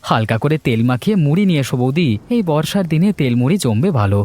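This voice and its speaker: A man's voice: a little high, sing-song, fast talker, mellow. A man voicing a confident, scheming village friend who gives assured advice in a cartoon. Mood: sly, assured. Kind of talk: Bengali cartoon dialogue acting.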